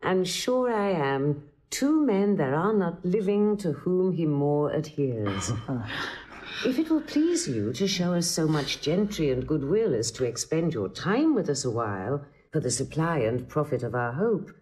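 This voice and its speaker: A woman's voice: low-pitched, big pitch swings, unhurried, darkish tone. An actress playing a gracious, courtly mature queen and anxious mother in a classical Shakespearean audio drama.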